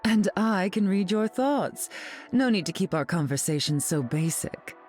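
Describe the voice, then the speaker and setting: A woman's voice: mid-range voice, animated, measured pace, a little mellow, faint music behind, natural voice. A woman playing a haughty, glamorous, sardonic society queen in a video game, imperious and arch in manner. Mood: imperious, cool. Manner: imperious, arch, drawling endearments. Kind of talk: video game character dialogue.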